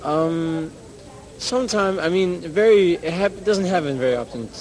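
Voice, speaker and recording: A man's voice: a little high, unhurried, darkish tone, low-quality recording. A young man answering interview questions casually and hesitantly, searching for his words. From United States, born in Syria. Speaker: youth conference interviewee.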